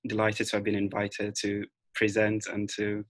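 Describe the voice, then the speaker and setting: Low, flat young man. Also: moderate pace, no echo. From Nigeria. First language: Igbo. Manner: relaxed, with frequent "um"s. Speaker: presenter, research scientist. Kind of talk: casual presentation. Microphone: video call.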